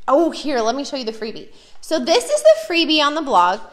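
A woman's voice: sits high, very animated, brisk. An American woman, a preschool teacher, chatting enthusiastically and casually as she shows classroom activities.

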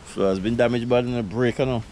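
A man's voice: mid-range voice, fast talker. A middle-aged man from Saint Vincent with a Vincentian accent, chatting casually as he shows his backyard garden, laughing often. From Saint Vincent and the Grenadines. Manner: relaxed, chatty, laughs often, creole phrasing. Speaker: vlogger giving a garden tour. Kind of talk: casual chat.

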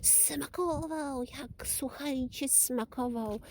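A woman telling a children's fairy tale expressively, often dropping to a hush and repeating phrases for suspense. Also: sits high, slow, background noise. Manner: hushed, breathy drops, repeats phrases for suspense. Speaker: children's storyteller.

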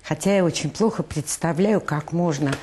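A woman's voice: low voice, normal speed, dark tone, slight background noise. An older woman guiding visitors through museum exhibits, explaining each object with frequent hesitations, recorded on a lapel mic in a museum room. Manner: hesitant, many filler sounds. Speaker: museum guide.

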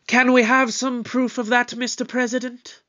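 A man's voice: extremely high pitch, little pitch movement, normal speed, sharp tone, lo-fi sound. A man playing an eccentric, commanding Doctor who leads a murder inquiry with formal, theatrical authority in an audio drama. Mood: grave. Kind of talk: scripted audio drama acting.

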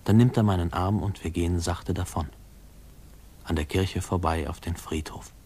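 Actor as wistful, low-voiced male narrator. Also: a little mellow, natural voice. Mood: melancholy, reflective. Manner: reflective, lyrical. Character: wistful grown man remembering his boyhood. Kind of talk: 1950s radio drama narration. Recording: faintly noisy old radio recording.

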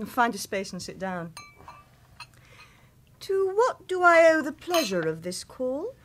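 An actress playing a teasing woman, a hitman's hospitable friend, with a husky voice in a radio drama. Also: high voice, big pitch swings, slow, a bit bright, a little hiss or hum, full character voice. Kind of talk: vintage radio drama acting.

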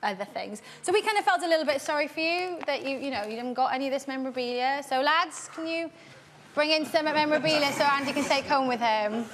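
A woman's voice: high voice, fast talker, slight background noise. Teasing Welsh woman presenter. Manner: bright, teasing interviewer. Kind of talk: studio interview. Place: TV studio with audience. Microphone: lapel.

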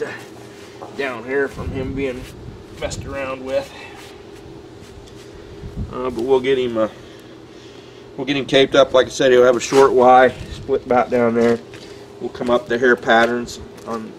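A man's voice: mid-range voice, level tone, measured pace, long pauses, a little hiss or hum, low-quality recording. A man working as a taxidermist, talking casually with many fillers as he walks through an outdoor job. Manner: plainspoken, filler-heavy, thinking aloud. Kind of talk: casual on-camera walkthrough. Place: outdoors on concrete by a building.

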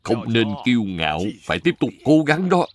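A man playing a firm, caring old grandfather in a cartoon dub, with a measured, admonishing manner. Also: medium pitch, sing-song, lightly acted. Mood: encouraging, firm. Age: older. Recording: background music under the voice.

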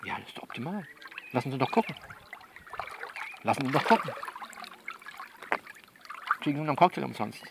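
Gravelly man, coaxing and bossy. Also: mid-range voice, animated, fairly quick, pauses often, heavy background noise. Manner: coaxing, bossy, repeats himself. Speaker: performer of his own audio novel. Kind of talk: improvised one-sided phone-call monologue, conversational.

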